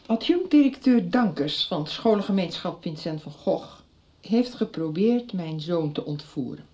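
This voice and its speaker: A blunt, upset middle-aged mother in a radio soap. Her voice is rough-edged and swings lively in pitch. The recording is a little muffled. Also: moderate pace. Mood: brisk, indignant. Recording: dull 1990s radio recording.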